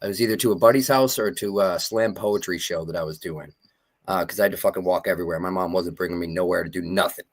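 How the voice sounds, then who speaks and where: A man from Maine in his thirties, speaking as a guest in a casual interview. He rambles in a laid-back way and swears freely. His voice sits low. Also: fast talker. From Maine, USA.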